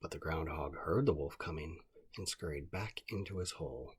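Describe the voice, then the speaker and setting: A man narrating a children's bedtime story in a calm, scripted read-aloud style. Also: a bass voice, normal speed, slightly rough.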